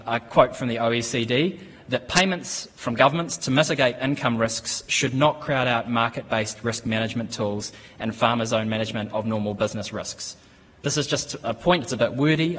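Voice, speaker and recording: A man in his thirties with a New Zealand accent gives a conference presentation at a lectern, speaking in a measured, steady way with frequent ums and restarts. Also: mid-range voice. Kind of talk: business presentation. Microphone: lectern microphone. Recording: conference hall with long reverb.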